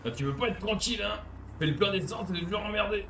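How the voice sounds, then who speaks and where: A young man with a slightly high voice talks casually while gaming on a headset. He is crude and quick to argue, speaking at a brisk clip. Vehicle noise from the game is clearly audible behind him. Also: expressive. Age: young adult. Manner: crude, argumentative. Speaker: game streamer. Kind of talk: casual in-game roleplay banter.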